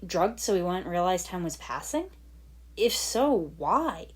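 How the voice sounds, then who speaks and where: A woman's voice: on the low side, very animated, a little slow, breathy edge. A woman reading fan fiction aloud as dramatic scripted story narration. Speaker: fan fiction podfic reader.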